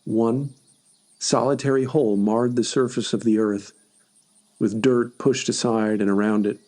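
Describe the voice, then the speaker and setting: A man's voice: medium pitch, steady tone, unhurried, warm tone. A man reading scripted first-person horror narration as a story podcast narrator.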